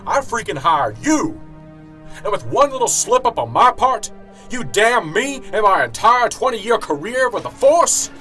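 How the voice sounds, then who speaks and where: A middle-aged police sergeant in a radio drama, acted by a man, crooked and self-important, with animated pitch that swings widely. Faint music plays beneath his lines. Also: measured pace, exaggerated voice. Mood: furious, indignant. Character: corrupt small-town police sergeant, chummy until cornered, then indignant. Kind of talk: amateur radio drama acting.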